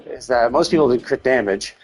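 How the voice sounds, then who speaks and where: A man streaming a game live, talking casually and haltingly as he works through numbers to himself. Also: mid-range voice, soft background music. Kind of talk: casual gaming stream commentary, thinking aloud.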